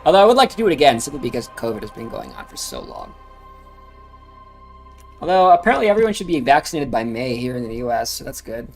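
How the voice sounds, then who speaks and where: A man's voice: mid-range voice, wildly swooping pitch, fast talker. A man casually talking through crypto charts on a livestream, rambling with frequent fillers. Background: music playing.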